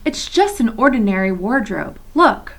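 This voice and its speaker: A woman's voice: on the high side, sing-song, normal speed, a bit bright, exaggerated voice. A woman reading aloud and voicing a sensible older girl who dismisses her little sister. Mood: scolding. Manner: dismissive, scolding.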